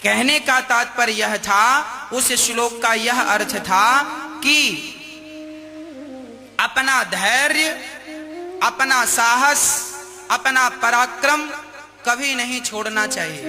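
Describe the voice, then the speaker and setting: A man's voice: very high-pitched, takes it slowly, pauses often, bright, forceful tone. A man telling a moral story in a conversational, preacher-like way, acting out the dialogue as he goes. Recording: long hall reverb, music underneath. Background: music.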